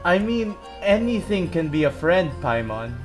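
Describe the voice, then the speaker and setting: A woman's voice: on the low side, big pitch swings, measured pace, over music. A woman hosting a gameplay video, reacting casually to the game as she goes.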